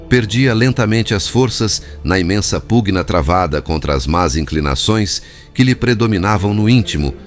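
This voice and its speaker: A man reading a spiritual book as a formal, literary scripted narration. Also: deep voice. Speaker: audiobook narrator.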